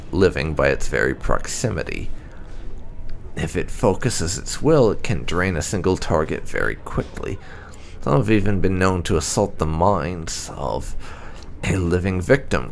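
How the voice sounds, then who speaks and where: A man reading game lore entries aloud as scripted narration, in a formal, measured, deliberate way. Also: sits low, gravelly. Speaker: gaming channel host.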